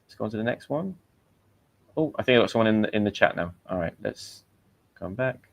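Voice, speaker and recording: Low-voiced British man teaching on a livestream. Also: lively intonation, a little fast, creaky. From Britain. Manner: chatty, corrects learners' vocabulary. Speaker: English teacher hosting a livestream. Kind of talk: casual livestream chat. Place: home in Tenerife.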